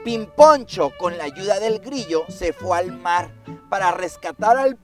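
A woman with a Mexican accent telling a children's story in an expressive, playful, emphatic way. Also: on the low side, measured pace. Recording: music behind the voice.

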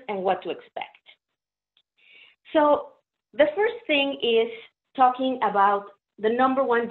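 Woman from Mexico, Mexican Spanish accent, native Spanish speaker, slightly high voice; friendly trainer explaining interpreting over a tinny video call. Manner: friendly, explanatory, uses fillers. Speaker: interpreter presenter. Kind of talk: webinar presentation. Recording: phone-like narrow audio.

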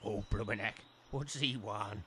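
A man's voice: fairly low pitch, unhurried, bright, forceful tone, husky, full character voice. A man voicing a sly, grumbling old villager with an English accent in a comic audio drama. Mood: grudging. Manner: grumbling, muttering.

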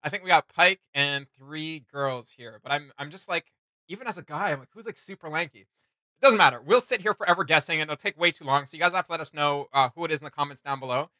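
A man's voice: on the high side, quick pace, bright, forceful tone, dry recording. A man in his thirties hosting a casual reaction chat, enthusiastic and slangy, recorded in a small home room. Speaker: reaction video host.

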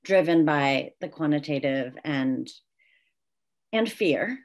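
Woman, 30s, lowish pitch. Informal, thoughtful interviewer on a video call, conversational phrasing. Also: animated, slow. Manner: informal, peppers talk with "like". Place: indoor room at home, video call.

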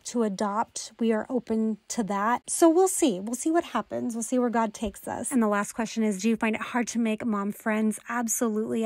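Higher-voiced woman vlogging in an echoey kitchen. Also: darkish tone. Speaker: lifestyle vlogger. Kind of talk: casual vlog chat while cooking, answering viewer questions. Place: home kitchen, indoors. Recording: echoey kitchen with clear background noise.

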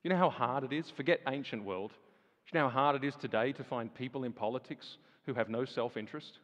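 A man preaching a sermon, firing rhetorical questions and swinging from loud emphasis to hushed lines. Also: mid-range voice, a little fast, dull audio. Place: large church hall. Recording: long reverb of a large hall.

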